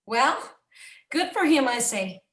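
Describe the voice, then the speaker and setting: A woman's voice: high voice, sing-song, takes it slowly, a bit bright, reverberant, full character voice. A woman playing an opinionated schoolteacher in her thirties at a screenplay table read. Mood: admiring.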